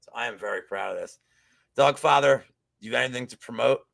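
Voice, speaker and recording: A man in his forties hosts a casual betting podcast with a gravelly, bright voice. He rambles loosely and jokes along as he goes. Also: medium pitch, fast. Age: 40s. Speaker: podcast host.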